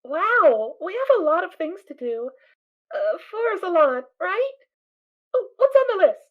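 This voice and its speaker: Lady doing a super high squeaky filly, bouncy and ditzy, tinny phone-ish sound. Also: sing-song, a little slow, exaggerated voice. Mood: excited. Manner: sleepy, dreamy, easily distracted. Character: cheerful scatterbrained little filly pony.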